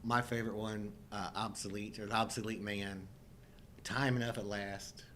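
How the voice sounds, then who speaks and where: A man's voice: fairly low pitch, flat delivery, moderate pace, a bit bright, a little hiss or hum. A man of about fifty co-hosting a casual film chat, joking and poking fun at himself. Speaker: co-host of a live show.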